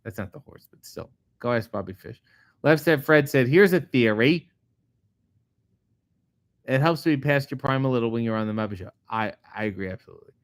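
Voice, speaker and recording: A middle-aged man, a comedian host, reading viewer comments aloud and answering them in a casual, profane, deadpan way. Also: mid-range voice, clean tone, no room sound. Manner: profane, sardonic, deadpan asides. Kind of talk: casual chat answering live chat questions.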